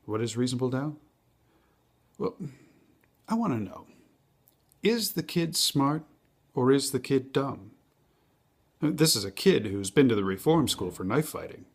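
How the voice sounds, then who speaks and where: A man's voice: mid-range voice, expressive, a little slow, pauses often, slightly rough. A man playing an earnest, insistent juror in a theatrical stage monologue, arguing emphatically and rhetorically. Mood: probing, measured. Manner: emphatic, rhetorical, building arguments by repeated questions.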